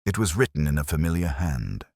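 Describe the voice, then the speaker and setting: A man narrating a scripted dramatic story in a measured, solemn manner. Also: very deep voice, very animated, gravelly, darkish tone, no room sound, clean studio sound. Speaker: audiobook narrator.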